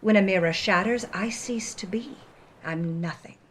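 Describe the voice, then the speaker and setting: A woman's voice: medium pitch, normal speed, a little hiss or hum. A woman co-host giving a dramatic, scripted reading and narration. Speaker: co-host and reader.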